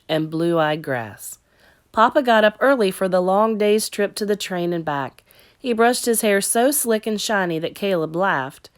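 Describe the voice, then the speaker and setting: A woman teacher narrating a children's story in an expressive read-aloud style. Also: mid-range voice.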